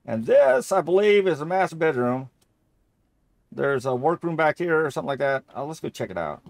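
A man's voice: fairly high pitch, sing-song, fairly quick, gravelly, dry recording. A middle-aged man giving casual, rambling, easily amused commentary as he plays a game. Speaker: gamer playing through a game.

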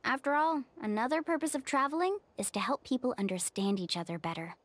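Young guide, friendly, patient, gentle, higher pitch, video game character. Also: female voice, fast, no room sound. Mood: earnest. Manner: gentle, reassuring.

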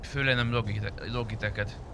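Man streaming over loud game noise. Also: lowish voice, steady tone. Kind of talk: casual livestream chat with viewers. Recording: noisy, vehicle and street sounds behind.

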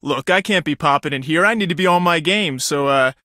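A man's voice: on the high side, brisk, bright, forceful tone, no room sound, clean studio sound. An actor voicing a vain, self-absorbed male reality-show star in a video game, with a hammy, showy delivery. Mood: brisk, businesslike.

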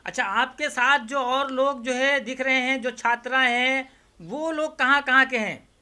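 A man's voice: very high voice, measured pace, pushed and bright, clear, resonant tone. A man reporting on location into a handheld microphone, interviewing and talking to camera with repetitive phrasing. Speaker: field reporter. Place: small crowded indoor office.

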